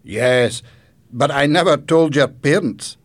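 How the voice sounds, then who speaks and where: A man's voice: on the high side, slow pace, pitched above the performer's own voice. Man acting a kindly, proud old grandfather. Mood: reminiscing. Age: older. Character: kindly older Scottish grandfather, proud and a little sad.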